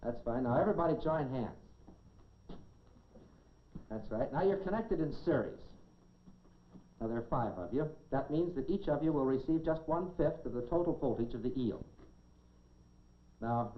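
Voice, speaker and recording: A man presenting a science demonstration in a 1950s educational film, in a measured, folksy showman's way with dry humor. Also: mid-range voice, animated. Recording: dull, noisy 1950s educational film soundtrack.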